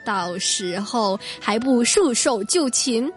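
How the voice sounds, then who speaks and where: A woman's voice: a little high, expressive, takes it slowly, soft background music. A woman acting a domineering senior henchwoman in a martial arts radio drama, haughty and taunting. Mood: scornful.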